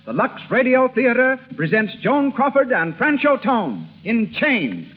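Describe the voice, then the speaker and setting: A man's voice: very high voice, wildly swooping pitch, a little slow, background noise. A man announcing a radio show in a grand, formal, emphatic 1930s manner. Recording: muffled 1930s radio recording.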